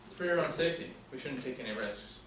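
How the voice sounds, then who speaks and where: A young man playing a businesslike FBI agent in a scripted table read, brisk and official. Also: medium pitch, animated, a bit bright, natural voice. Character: businesslike male FBI agent questioning a witness. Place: small indoor room, seated reading. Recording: very echoey room, distant mic, faint noise.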